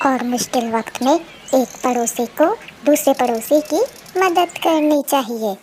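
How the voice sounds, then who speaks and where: Woman playing a high-voiced preachy queen ant. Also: unhurried, background noise. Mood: kindly, moralising. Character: queen ant, kindly, moralising neighbour. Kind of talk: moral cartoon character voice.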